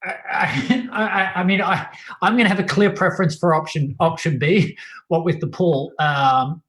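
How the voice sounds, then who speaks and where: A man's voice: a little high, moderate pace, fairly bright tone. An Australian-accented man giving informal public comment at a meeting.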